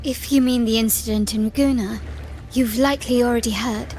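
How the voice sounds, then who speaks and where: A woman's voice: fairly high pitch, steady tone, brisk, darkish tone, slight background noise, lightly acted. An actress playing a commanding young noblewoman in a video game, composed, polished and authoritative.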